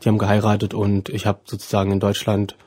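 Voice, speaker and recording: Husky young man, hesitant speaker. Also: fairly low pitch, monotone, fast, mellow, dry recording, studio quality. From Ukraine, then Germany from about age seven. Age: 20s. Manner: hesitant, searching for words, self-correcting. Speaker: interview guest, student. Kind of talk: informal personal interview answers.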